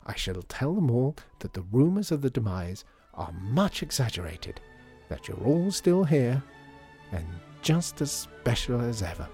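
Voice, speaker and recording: A man voicing a dithery, genteel old lady in a storybook, breathy, effusive and wistful. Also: medium pitch, very animated, measured pace, dark tone, faint music behind, voice pushed up, exaggerated voice. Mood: gushing, fond. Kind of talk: storybook character voice.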